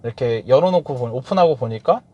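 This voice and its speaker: A man's voice: mid-range voice, animated, quick pace, muffled recording. Hesitant man around thirty. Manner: hesitant, searching for words with fillers and soft laughs. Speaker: car owner interviewee. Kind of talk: casual interview answers.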